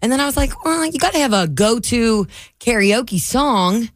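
A woman with a deep, raspy voice co-hosting a morning radio show, teasing and laughing. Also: animated, moderate pace, dry recording, clean studio sound. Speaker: radio co-host.

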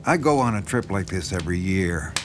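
Low-voiced, slyly sinister horror writer. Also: male voice, lively intonation, fairly quick, music in the background. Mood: insinuating, flattering. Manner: folksy, knowing, insinuating. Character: smooth-talking, subtly sinister, self-assured male horror writer. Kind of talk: naturalistic audio drama dialogue.

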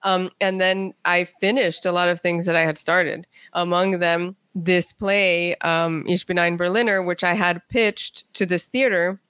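A woman's voice: on the low side, steady tone, a little slow, few pauses, fairly bright tone, clear, resonant tone, no echo. A woman speaking casually as an interview guest, a writer telling stories in a chatty way and acting out bits of quoted conversation.

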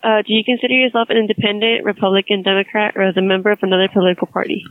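A woman's voice: medium pitch, level tone, racing, no room sound. A woman survey caller reading polite scripted questions over the phone. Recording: tinny dry phone line.

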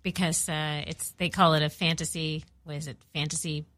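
A woman co-hosting a music podcast, chatty and quick to laugh. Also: low-pitched, little pitch movement, fairly bright tone, no echo. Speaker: podcast co-host. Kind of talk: casual music chat.

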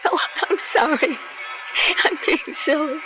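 A woman's voice: mid-range voice, normal speed, natural voice. An actress in a radio drama playing a clinging, heartbroken mistress pleading with her departing lover. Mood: tearful, desperate. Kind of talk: 1970s radio drama acting. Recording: dull radio recording over music.

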